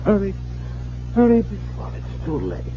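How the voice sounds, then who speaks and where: Wealthy occultist, theatrical radio drama delivery, slow, wide swooping pitch, formal, noisy old broadcast. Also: male voice, huge pitch swings, dull audio, full character voice. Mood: dying terror. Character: wealthy occult-obsessed man, urbane, later dying and terrified.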